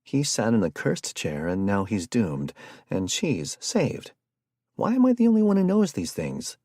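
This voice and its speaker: A man voicing an excitable, geeky young man who rambles in a high, theatrical voice. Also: unhurried, no echo, clean studio sound, full character voice.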